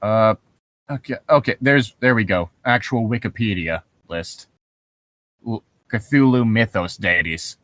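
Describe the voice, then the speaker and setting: A man's voice: on the low side, unhurried, fairly bright tone. A man chatting casually as a podcast co-host, rambling and swearing freely as he riffs on horror stories. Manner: rambling, profane. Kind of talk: casual chat, riffing commentary on horror stories.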